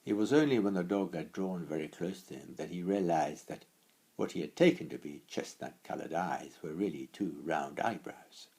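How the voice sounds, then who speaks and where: A man narrating a story expressively and deliberately, with dramatic pauses, as an author reading his own work. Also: low voice, darkish tone, husky.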